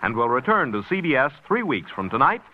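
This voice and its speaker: A man's voice: mid-range voice, big pitch swings, a little fast, highs cut off. A man making formal network announcements for an old radio broadcast.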